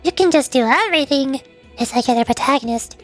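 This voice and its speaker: A woman streaming a video game in a high, cute voice. She chats playfully and reads dialogue aloud between her own comments. Faint music sits behind her. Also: fast. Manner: cutesy, playful, chatty.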